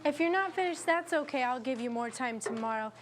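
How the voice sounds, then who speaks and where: A young woman teacher with a fairly high voice speaks in an expressive, encouraging way. There is faint background noise around her as she instructs. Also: fairly quick. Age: 20s. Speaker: afterschool teacher. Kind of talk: explaining teaching methods and leading a children's read-aloud.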